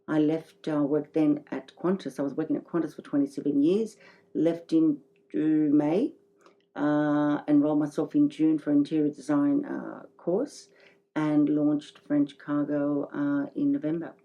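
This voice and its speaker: Middle aged aussie woman, low mellow voice, chatty and enthusiastic interview guest. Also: little pitch movement, a little slow, lo-fi sound. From Greece, living in Australia. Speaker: interview guest, boutique shop owner. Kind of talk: casual interview conversation.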